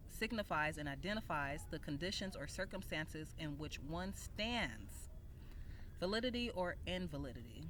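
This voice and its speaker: A woman reads a written text aloud in a deliberate, emphatic way, with casual asides. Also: on the low side, fairly bright tone, a little hiss or hum. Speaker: video creator reading an essay aloud.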